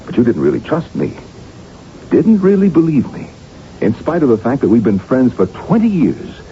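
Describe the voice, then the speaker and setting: A man's voice: very animated, normal speed, dark tone, close to an everyday voice. A male actor playing an exasperated, earnest doctor and loyal friend in a 1980s radio drama, reasoning emphatically. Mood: earnest, lecturing. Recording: dull, rumbling, noisy old radio recording.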